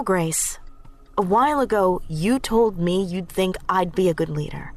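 A woman playing a blunt, plain-spoken young activist leader in a naturalistic audio drama. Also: medium pitch.

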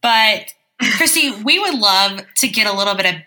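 A woman hosting a casual chat, bantering in a jokey, expressive way. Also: medium pitch, moderate pace. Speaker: host.

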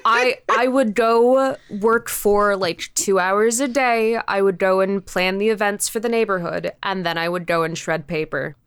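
A woman's voice: mid-range voice, measured pace, hardly any pauses, clear, resonant tone, no room sound. A woman chatting casually as an interview guest, telling stories in a rambling, emphatic, informal way. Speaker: interview guest, creator of a podcast show. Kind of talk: casual chat, storytelling.

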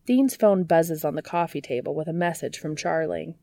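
Low, creaky woman narrating a story. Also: normal speed, dark tone, dry recording. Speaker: audiobook reader of fan fiction. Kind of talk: scripted narration of a story, expressive.

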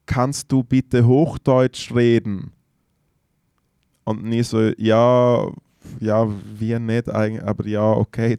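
A young Swiss man with a Swiss German accent, his voice mellow and warm. He co-hosts a podcast, telling slangy, lively anecdotes and laughing often. The sound is dry and close. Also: mid-range voice, smooth, no echo. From Switzerland. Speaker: podcast co-host. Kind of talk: casual chat.

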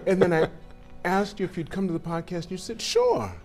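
A man's voice: high voice, very animated, normal speed, darkish tone, faint music behind. A man hosting a podcast, asking eager, chatty follow-up questions. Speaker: podcast host. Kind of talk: interview conversation.

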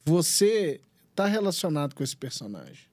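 A man's voice: a little high, very animated, normal speed, a little mellow, no room sound. A man interviewing a guest, talking earnestly and at length, often repeating and restarting his words. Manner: earnest, rambling, repeats himself. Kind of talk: interview questioning.

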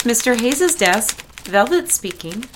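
A woman's voice: a little high, big pitch swings, measured pace. A woman playing a prim, guarded young office secretary in a period audio drama, formal and clipped in her politeness. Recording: loud typewriter clatter and rustling noise. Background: typewriter, clicks.

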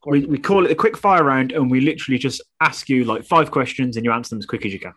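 A man's voice: medium pitch, fast talker, a bit bright. A man hosting a show, casually putting questions to a guest. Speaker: show host, interviewer. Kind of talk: casual interviewing.

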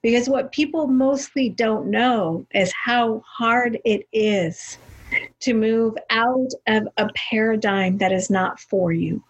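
A woman's voice: fairly high pitch, slow, slightly rough, poor audio. A woman co-hosting a podcast interview, effusive and affirming, often tagging her points with "right?". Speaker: podcast co-host.

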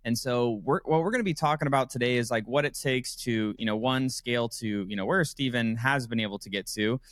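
A man's voice: medium pitch, brisk, a bit bright, dry recording, clean studio sound. A man hosting a business podcast, asking loose, upbeat questions full of fillers. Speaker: podcast host. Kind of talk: podcast interview with rambling questions.

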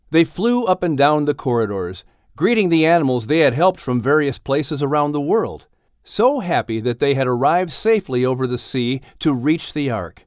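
A man narrates a storybook in a scripted audiobook style. His pitch moves widely and expressively from phrase to phrase. The recording is very dry, with no room sound. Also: dry recording.

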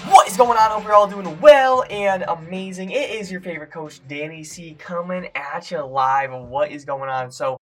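A hoarse young man, a fitness coach and podcast host, talking energetically to viewers on a live stream as he loses his voice. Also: high-pitched, normal speed, few pauses, faint music behind. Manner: hyped, shouting encouragement to viewers.

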